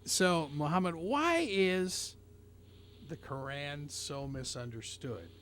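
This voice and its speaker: A man with a fairly high, very lively voice co-hosts a radio talk show. He is curious and chatty, firing off questions and laughing easily. A faint hiss runs under him. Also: slow. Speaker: radio co-host. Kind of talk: casual radio conversation.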